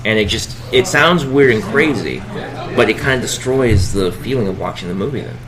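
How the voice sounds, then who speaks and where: A man's voice: mid-range voice, normal speed, crowd noise. A man co-hosting a casual chat show, talking loosely with many fillers and restarts. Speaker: podcast co-host.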